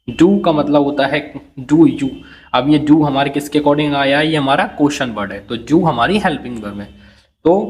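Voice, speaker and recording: Man, unhurried, drawn-out repetition, English tutor explaining phrases. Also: mid-range voice. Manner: slow, repetitive, checks understanding with "ठीक है". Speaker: English teacher. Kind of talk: Hindi-medium English lesson, word by word translation.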